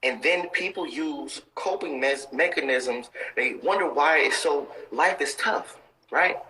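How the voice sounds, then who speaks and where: A man's voice: a little high, unhurried, bright, forceful tone, a little hiss or hum, rough recording. A man in his thirties giving motivational talk on a live stream, intense and preachy, repeating his points for emphasis. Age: 30s.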